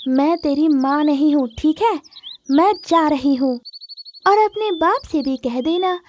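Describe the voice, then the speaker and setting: A woman's voice: sits high, measured pace, a little mellow, a little hiss or hum. A woman voicing a deceitful, greedy female stepmother bird in a moral-story cartoon, sly and dismissive. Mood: scornful.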